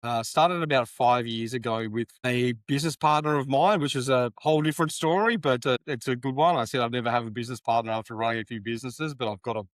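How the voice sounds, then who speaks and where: A man's voice: medium pitch, quick pace, few pauses, no room sound. A man in his fifties from Australia with a broad Australian accent, talking as a guest in an upbeat, plainspoken way with local slang. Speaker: guest, sponsorship sales business owner. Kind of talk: casual interview talk.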